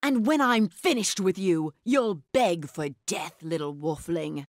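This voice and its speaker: A woman's voice: lowish voice, a little slow, dry recording, clean studio sound, lightly acted. A woman playing a haughty, cruel slave mistress in a video game, imperious and threatening. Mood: venomous threat. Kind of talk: dramatic video game villain acting.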